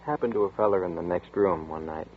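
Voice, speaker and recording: A man's voice: low voice, quick pace, noisy background, natural voice. An actor playing a weary, defeated man who narrates his own ordeal, in 1940s radio acting. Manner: weary, tense first-person narration. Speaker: narrator and lead character. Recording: tinny old radio broadcast with music.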